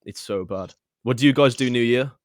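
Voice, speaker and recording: A man hosts a casual podcast chat with lots of energy and plenty of swearing. His pitch is middling, and he sounds close and dry, with no room echo. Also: fairly quick. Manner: animated, sweary, says "man" and "buzzing" a lot. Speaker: podcast host.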